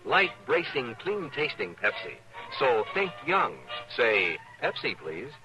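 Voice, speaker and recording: A man's voice: medium pitch, very animated, slow. An American man reading a vintage radio commercial as announcer. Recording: dull lo-fi radio recording. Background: music.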